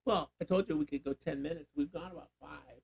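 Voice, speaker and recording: A man's voice: a little high, fairly quick, creaky, slightly rough. An older man, a grandfather, leading a casual Bible reading, thinking aloud and prompting with questions. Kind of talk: casual teaching chat.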